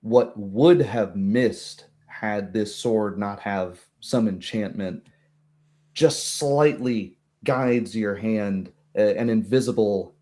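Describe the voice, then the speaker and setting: A man's voice: fairly low pitch, warm tone. A man narrating a tabletop roleplay as game master, with dramatic, descriptive storytelling.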